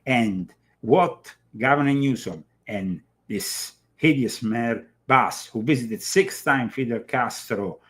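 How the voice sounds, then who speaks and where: A man from Italy with an Italian accent, hosting a political commentary show, speaking emphatically but halting often with fillers and restarts. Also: mid-range voice, fairly bright tone. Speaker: show host.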